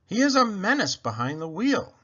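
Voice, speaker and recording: A man's voice: high voice, sing-song, normal speed, bright, forceful tone, muffled recording, voice pushed up, full character voice. A man voicing a stern, pompous lady neighbor in a children's storybook, emphatic, indignant and formal. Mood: disapproving.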